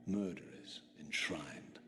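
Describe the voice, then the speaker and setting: An actor playing a bitter, eloquent undead vampire, narrating a video game with grave, measured, theatrical diction. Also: male voice, extremely low pitch, over loud music. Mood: brooding, grave. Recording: reverberant hall, faint noise.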